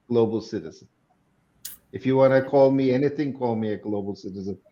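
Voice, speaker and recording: A man in his sixties from Turkey, a guest telling his story slowly and in measured phrases on a video call. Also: medium pitch, warm tone, highs cut off. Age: 60s. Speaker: guest speaker. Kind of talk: online interview.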